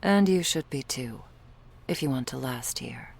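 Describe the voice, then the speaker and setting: Woman acting a low, slow, guarded vampire bodyguard. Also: expressive, creaky, darkish tone, slightly rough, full character voice. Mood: wary, low-key. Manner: cool, stern, clipped, often dropping to a whisper. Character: guarded, protective female vampire bodyguard, curt and menacing. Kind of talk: ASMR roleplay acting.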